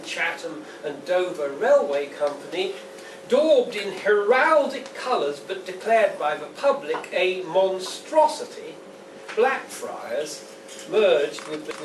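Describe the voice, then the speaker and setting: A man giving a live poetry reading as the poet, with a measured, deliberate, declamatory delivery. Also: fairly high pitch. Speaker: poet reading own work. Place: indoor venue at a launch reading. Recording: roomy, distant, noisy live event recording.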